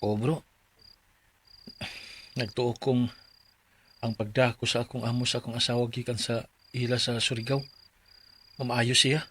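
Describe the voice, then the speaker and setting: A man's voice: mid-range voice, little pitch movement, unhurried, long pauses, a bit bright, slightly rough. A man acting a humble, proud widowed father of five in a Bisaya radio drama, speaking in a plaintive, earnest way with a Filipino Bisaya accent. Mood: sorrowful.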